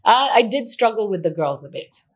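Kenyan-accented woman guest, open and self-mocking, ordinary pitch, easy laughter. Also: very animated, a little fast, a crowd in the background. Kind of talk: candid conversational storytelling.

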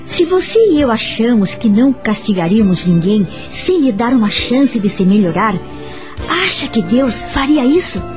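An actress with a Brazilian accent playing a calm, kind married woman who counsels patiently in a radio soap opera. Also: fairly high pitch, normal speed, faint music behind. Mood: calm, instructive.

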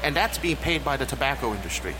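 A man's voice: mid-range voice, lively intonation, quick pace. A young adult man talking emphatically and rhetorically in a casual podcast panel, recorded in a lounge. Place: cigar lounge. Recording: clearly noisy lounge recording.

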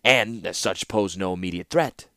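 A man's voice: deep voice, normal speed, no echo, studio quality. A man voicing an impulsive, aggressive male captain of the guard who speaks bluntly. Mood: forceful. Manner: forceful, blunt.

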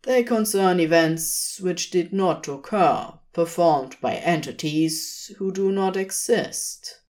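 A woman's voice: lowish voice, takes it slowly, a little mellow. A woman voicing an expressionless, solemn angel administrator in an audiobook-style story, intoning in stiff formal phrases. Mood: flat, formal.

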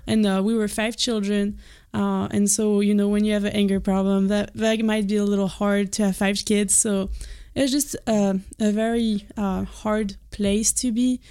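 Female guest, French accent, candid and soft-spoken, mid-pitched. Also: flat delivery, normal speed, clear, resonant tone. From France. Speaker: missionary guest. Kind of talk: personal testimony in an interview. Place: studio.

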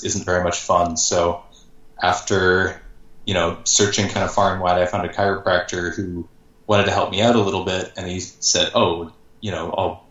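Thirtysomething guy, deep-ish voice, chill rambly podcast guest, echoey room. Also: far from the mic, muffled recording. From born in Canada, grew up in Georgia, USA. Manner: relaxed, rambling with fillers. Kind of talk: casual storytelling in an interview.

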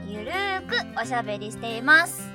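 Very high female voice over guitar music. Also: sing-song, moderate pace. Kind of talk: scripted podcast introduction. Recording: acoustic guitar music behind.

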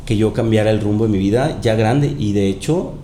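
Mellow low-voiced man, roomy sound. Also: a little fast. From Mexico and Panama. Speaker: podcast guest. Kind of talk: casual chat. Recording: roomy echo, noticeable rumbling noise.

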